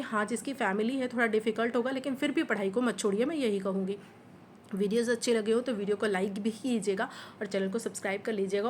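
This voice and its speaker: A young woman with a hoarse voice from a sore throat, giving earnest, encouraging study advice to the camera as a housewife vlogger, mixing English words into her Hindi. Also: mid-range voice, slight background noise. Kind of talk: motivational talk to camera.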